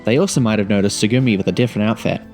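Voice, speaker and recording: A man's voice: on the low side, racing, a little mellow, soft background music. A man reading a scripted video game review as narration.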